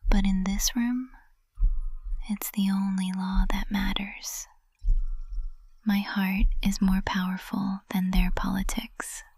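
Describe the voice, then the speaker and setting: A woman's voice: medium pitch, level tone, darkish tone, slightly rough, no room sound. A woman playing a lovestruck witch professor in an intimate ASMR roleplay, whispering tenderly and breathily, close to the microphone. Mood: intense devotion. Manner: slow, tender, intimate delivery. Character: lovestruck high witch professor, usually cold and composed, tender.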